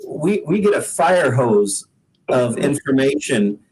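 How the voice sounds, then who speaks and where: Unhurried American man in casual conversation. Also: mid-range voice, sing-song, darkish tone, a little hiss or hum, low-quality recording. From Pacific Northwest, United States. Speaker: guest singer-songwriter. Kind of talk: casual interview chat.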